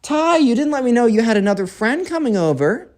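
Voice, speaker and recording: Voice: medium pitch, big pitch swings, brisk, darkish tone, voice pushed up, lightly acted. A storyteller voicing a polite but firm mom with a falsely nice, sing-song manner in a comic story. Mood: sugary, politely firm. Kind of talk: acted dialogue in a comic story.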